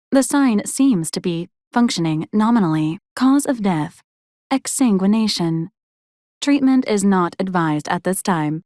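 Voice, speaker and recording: A female robot companion from a video game, acted by a woman, with a medium-pitched voice in a very dry recording. The delivery is formal and deadpan, each phrase clipped and clinically detached, yet always courteous. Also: no echo, close to an everyday voice. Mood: helpfully polite. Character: polite, deadpan female robot companion unit assisting a commander. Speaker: robotic assistant. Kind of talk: scripted video game character lines.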